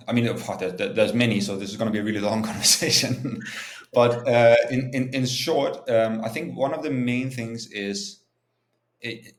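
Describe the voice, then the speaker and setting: A man from Denmark speaking informally and explaining things as a guest in an interview. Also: fairly low pitch. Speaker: startup founder and CEO, interview guest. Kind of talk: conversational interview answers. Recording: echoey, roomy sound.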